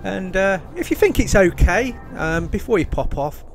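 Higher-voiced man, rambling casual gaming host. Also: big pitch swings, normal speed, gravelly, faint music behind. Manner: rambling, hesitant, repetitive, polite. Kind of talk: casual video intro commentary.

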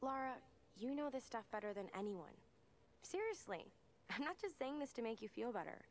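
A woman's voice: fairly high pitch, sing-song, brisk, a little hiss or hum. An actress playing a lighthearted, supportive young woman in a naturalistic video game cutscene. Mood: reassuring.

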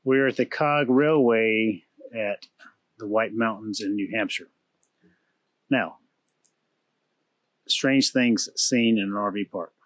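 Man in his thirties telling travel stories. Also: on the low side. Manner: relaxed storytelling with fillers and restarts. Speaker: travel vlogger. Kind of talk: casual chat. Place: RV interior, then outdoors at a campground.

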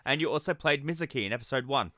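A man's voice: medium pitch, expressive, rapid-fire, bright, forceful tone, no room sound, studio quality. A man hosting an upbeat online cast interview, introducing and questioning his guest. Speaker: interview host.

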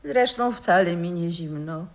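An actress playing a gentle, nostalgic old grandmother, once a singer, in a radio play. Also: on the low side, animated, measured pace. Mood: resigned.

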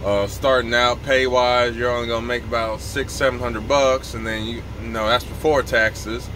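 A man's voice: medium pitch, little pitch movement, moderate pace, fairly bright tone, a little hiss or hum, rough recording. A man in his thirties, a truck driver, chatting casually to camera from his sleeper cab in a laid-back way, with plenty of "y'all". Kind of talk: casual vlog talk to camera.

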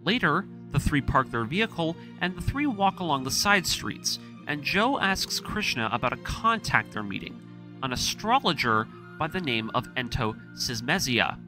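A man's voice: a little high, big pitch swings, moderate pace, music in the background. A man narrating a scripted story recap, speaking to his audience as a storyteller and series narrator.

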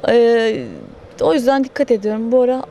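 A woman in her thirties interviewed outdoors into a handheld mic, animated and joking about herself. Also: on the high side, brisk, a little hiss or hum. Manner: animated, self-mocking. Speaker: interviewee, model. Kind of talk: casual interview answers. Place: outdoor terrace.

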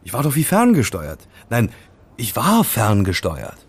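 An actor playing a remorseful, self-pitying young hacker in an audio drama, sheepish and expressive. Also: male voice, fairly low pitch, unhurried, breathy edge, exaggerated voice. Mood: rueful.

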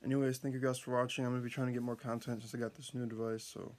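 Young man, vlogger, casual rambling chat, mid-pitched voice, filler-heavy. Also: level tone, racing, a little mellow.

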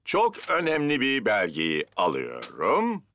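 A man's voice: lowish voice, huge pitch swings, slow pace, fairly bright tone, a little hiss or hum, heavily acted. A man voicing a polite, earnest office-worker rabbit in a children's cartoon dub. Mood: earnest, obliging.

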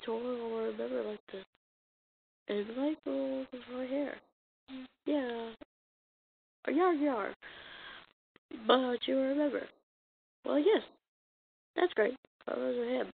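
A woman reading a comic dub as an amateur voice actor, acting out the dialogue in a halting, stumbling way on a muffled, noisy recording. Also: high-pitched, gravelly. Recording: muffled lo-fi recording with noise and clicks.

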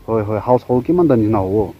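A man's voice: lowish voice, lively intonation, racing. A man from Nagaland, India, chatting casually and inquisitively as a vlogger interviewing villagers, often acknowledging what he hears. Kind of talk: casual chat. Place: outdoors on a village road. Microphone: handheld camera, behind it. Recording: dull outdoor camera audio, loud ambient noise.

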